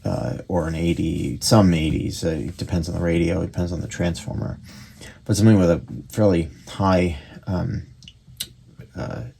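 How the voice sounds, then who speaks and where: A man giving a casual hands-on repair demonstration, speaking haltingly as he thinks aloud while he works. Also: low-pitched, creaky, slightly rough. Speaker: radio repair hobbyist and presenter. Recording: steady background noise with clicks.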